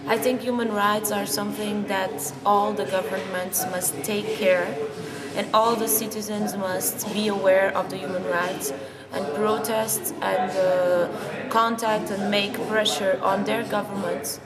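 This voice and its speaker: A woman's voice: medium pitch, fairly even intonation, unhurried. A woman from Lisbon with a Portuguese accent, giving earnest, articulate interview answers. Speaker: interviewee, youth project participant. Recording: distant mic, reverberant, loud crowd behind.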